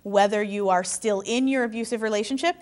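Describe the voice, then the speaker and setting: A woman's voice: medium pitch, brisk, darkish tone. A woman guest on a talk show, earnestly explaining a domestic violence program's services. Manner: earnest, informative, some restarts. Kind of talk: interview answers.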